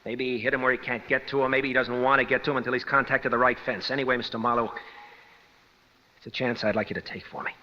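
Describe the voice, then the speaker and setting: An actor playing an earnest young condemned prisoner, intense and pleading, in a radio detective drama. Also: male voice, mid-range voice, fairly even intonation, quick pace, natural voice. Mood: urgent, pleading. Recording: dull vintage radio recording.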